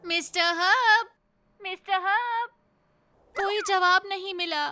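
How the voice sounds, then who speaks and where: A woman voicing an eager, anxious young boy train in a Hindi cartoon dub, with a squeaky, earnest, exclamatory delivery. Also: slow pace, background noise. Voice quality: childlike, squeaky. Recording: loud music under the voice.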